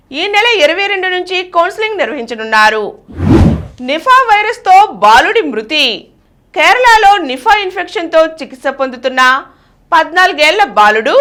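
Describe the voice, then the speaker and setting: High-pitched woman reading the news. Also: sharp tone. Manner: formal, announcer-like delivery. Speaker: news reader. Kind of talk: scripted news bulletin reading.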